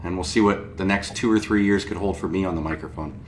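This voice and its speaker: A man's voice: deep voice, quick pace, over loud music. A man hosting a podcast, talking in an enthusiastic, rambling way full of fillers. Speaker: podcast host. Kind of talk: podcast interview.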